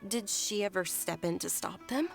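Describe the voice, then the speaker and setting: A woman voicing a gentle young noblewoman in a game, speaking politely and sympathetically. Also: medium pitch, normal speed, gravelly, faint music behind, close to an everyday voice. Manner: polite, soft-spoken, sympathetic. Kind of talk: video game cutscene dialogue.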